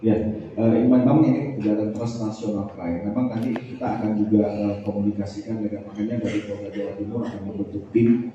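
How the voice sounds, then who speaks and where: Guy, police official at a briefing, very dark low voice, big echoey hall, halting and formal. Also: little pitch movement, distant mic. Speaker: police spokesman at press conference. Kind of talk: press briefing answering reporters. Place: large echoey room, through PA microphone. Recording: boomy echoing hall, muffled, faint noise.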